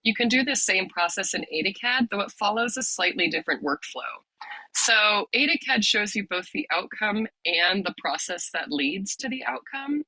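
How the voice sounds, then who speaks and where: A woman with a bright, forward voice leads an online workshop over a video call, a touch hoarse from a cold. She explains things in a friendly way and fills pauses with ums, and the sound is close and dry with no room around it. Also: medium pitch, expressive, dry recording, low-quality recording. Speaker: workshop leader, lab director.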